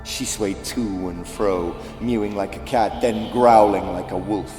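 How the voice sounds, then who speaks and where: A man narrating a children's audiobook as dramatic, deliberate, theatrical storytelling. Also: on the low side, darkish tone. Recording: echoey reverb with faint music.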